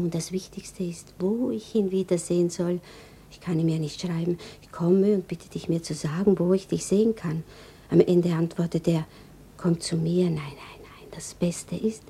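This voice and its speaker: Nervous longing woman in a radio drama, husky, hesitant, kind of murmuring to herself. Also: lowish voice, warm tone, full character voice. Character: Bertha, a nervous, yearning woman thinking aloud. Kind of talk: German radio play acting, intimate inner monologue.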